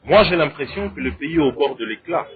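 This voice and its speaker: Congolese man, slightly high, phone-like sound. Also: lively intonation, a little fast. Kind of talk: conversational opinion and debate. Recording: thin, phone-like narrow sound.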